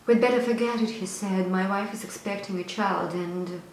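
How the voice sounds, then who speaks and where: An actress performing a stage monologue as a young woman haunted by a painful memory, moving between hushed whispers and loud outbursts. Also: mid-range voice, moderate pace, lightly acted. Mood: wistful. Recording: echoey room, faint noise.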